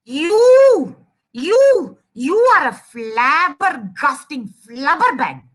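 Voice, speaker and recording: A woman's voice: high voice, sing-song, very slow, fairly bright tone, exaggerated voice. A woman voicing a tiny, indignant male mouse who scolds and sputters in a children's storybook read-aloud. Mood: furious.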